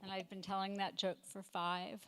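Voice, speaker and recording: Deadpan woman comic on stage. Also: medium pitch, normal speed, a little hiss or hum. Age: 50s. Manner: deadpan one-liners with hesitant fillers and self-corrections. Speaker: stand-up comedian. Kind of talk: stand-up comedy set. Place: comedy night stage before a live audience.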